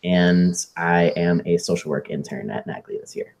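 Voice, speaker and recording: A thirty-year-old man co-hosting a casual live stream, speaking in a measured way with ums. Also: low-pitched, steady tone, creaky, darkish tone. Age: 30s. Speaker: co-host and social work intern.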